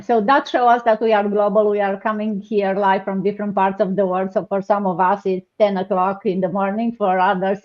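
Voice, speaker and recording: Woman from Romania hosting a live call. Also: medium pitch. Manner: hesitant, filler-heavy, many restarts. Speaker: host. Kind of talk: live online panel hosting. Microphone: video call. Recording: dull lo-fi online call audio.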